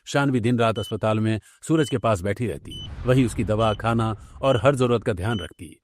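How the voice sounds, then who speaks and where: A man's voice: lowish voice, normal speed, slight background noise. A man reading scripted story narration for a cartoon tale. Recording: background music under the voice.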